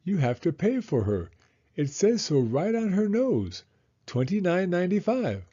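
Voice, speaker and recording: A man's voice: high-pitched, sing-song, unhurried, warm tone, highs cut off, voice pushed up, full character voice. A man voicing an officious male cashier in a children's storybook, insistent and matter-of-fact.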